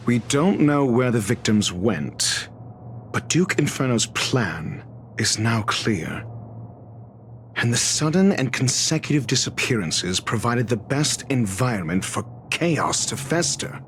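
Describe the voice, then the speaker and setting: An actor playing a courteous, cryptic detective in a video game, speaking in a formal, measured way. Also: male voice, mid-range voice, very animated, slight background noise. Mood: grave, measured. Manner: formal, measured, theatrical wording. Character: composed, eloquent male detective, courteous and cryptic. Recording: music behind the voice.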